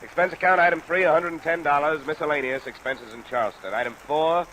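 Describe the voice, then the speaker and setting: An actor playing a tough, persistent insurance investigator in a 1950s American radio detective drama, terse and matter-of-fact. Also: male voice, a little high, quick pace, sharp tone, poor audio. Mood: dry, businesslike. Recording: old radio recording under rain noise.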